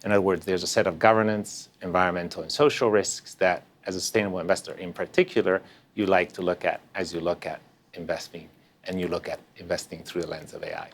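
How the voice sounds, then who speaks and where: Deep-voiced guy hosting a podcast, measured, lots of ums and restarts. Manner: measured, explanatory, with frequent "um" and restarts. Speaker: podcast host. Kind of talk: podcast interview.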